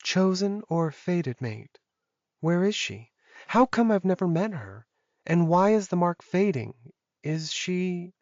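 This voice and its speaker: A man voicing an earnest, vulnerable young female werewolf in a soft, hesitant audiobook voice. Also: high-pitched, big pitch swings, slow, no room sound, lo-fi sound, voice pushed up, full character voice. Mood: questioning.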